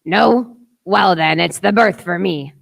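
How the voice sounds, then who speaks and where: A woman playing a gruff, suspicious old seaman captain in an amateur radio play, with commanding, salty pirate talk. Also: on the low side, takes it slowly, heavily acted.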